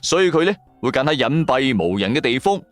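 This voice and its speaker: A man's voice: medium pitch, very animated, a little fast, no echo, soft background music. A man with a Cantonese accent, narrating a first-person story in a dramatic audio drama style.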